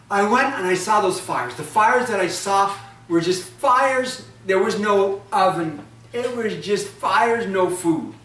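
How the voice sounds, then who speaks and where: A storyteller voicing a vengeful ogress woman who chants and scolds in a high voice, heard in an echoey room from a distance. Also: fairly bright tone. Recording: echoey room, from far off, faint ambience.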